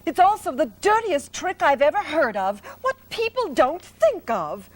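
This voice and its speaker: Woman playing a lady spider, high and lively, proud, matter-of-fact, unhurried. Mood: firm. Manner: crisp, matter-of-fact, slightly grand. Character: female spider, clever, proud and motherly. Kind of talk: storybook read-aloud.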